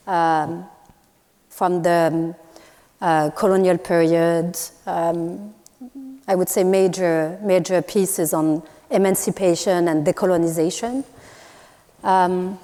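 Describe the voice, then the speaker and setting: A woman from Morocco whose first language is Moroccan Arabic, giving a thoughtful public talk as an artist and pausing often with fillers. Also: lowish voice, warm tone. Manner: thoughtful, hesitant with many fillers and restarts. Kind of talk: public talk at a university event. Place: lecture hall. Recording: long hall reverb.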